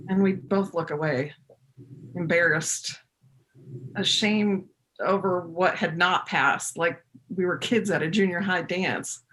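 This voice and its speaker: Low-voiced reflective woman acting a narrator. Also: a bit bright, low-quality recording. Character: reflective therapist looking back on younger self. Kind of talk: reflective monologue in readers theater.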